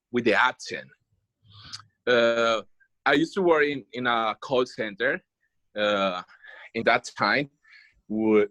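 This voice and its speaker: A man's voice: medium pitch, fairly bright tone, dry recording. A Colombian man whose first language is Spanish, a student telling a personal story in an online class, hesitant with many fillers. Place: video call.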